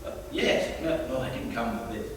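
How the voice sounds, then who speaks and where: A man's voice: medium pitch, moderate pace, fairly bright tone, a little hiss or hum, lightly acted. An actor playing a drunk, nosy upper-class man at a party, theatrical and sly. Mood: prying, teasing. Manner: theatrical, sly, drawling with sudden outbursts. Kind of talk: stage play performance. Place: theatre stage. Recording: echoey theatre stage from far off.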